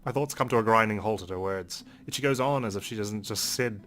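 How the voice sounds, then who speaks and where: A man's voice: lowish voice, fairly quick, a bit bright. A man reading a visual novel aloud in dramatic first-person narration for a let's play.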